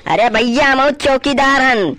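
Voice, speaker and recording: A man's voice: very high voice, a little slow, pushed and bright, full character voice. A man voicing a blunt, cheeky village watchman in a comedy cartoon, brash and colloquial with a rural Uttar Pradesh accent. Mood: brash, defiant.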